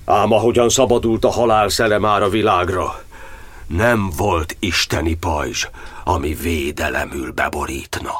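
Embattled cardinal, solemn declamatory verse. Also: male voice, deep voice, a bit bright, a little hiss or hum. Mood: grave, resolute. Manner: declamatory, measured verse delivery. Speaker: actor. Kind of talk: dramatic verse monodrama monologue.

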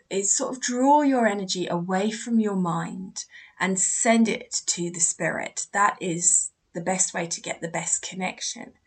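A British woman talks through a listener's question on her podcast, chatty and a little self-deprecating. Her voice sits at an everyday middle pitch and her delivery is loose and conversational. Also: slightly rough. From Britain. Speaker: podcast host, mediumship teacher. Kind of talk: casual podcast monologue answering a listener.

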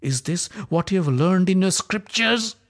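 A man voicing a wronged young queen who screams and accuses in a shrill, high voice. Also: animated, a little slow. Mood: outraged. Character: wronged young queen, proud, furious, desperate.

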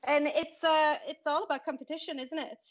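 Woman host, slightly high voice. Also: steady tone, brisk. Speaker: podcast host. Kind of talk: interview questions. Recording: dull lo-fi audio.

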